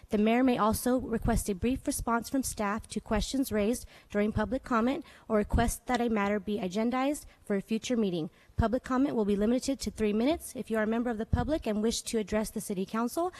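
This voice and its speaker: A woman city clerk reading a formal statement, clear and even. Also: on the high side, rough recording. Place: council chamber.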